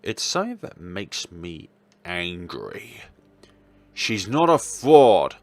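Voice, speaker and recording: A man reading a visual novel story aloud in an expressive, dramatic way, as a let's player. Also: low-pitched, slow pace. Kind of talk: expressive read-aloud narration of game text. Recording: music playing behind the voice. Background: game background music.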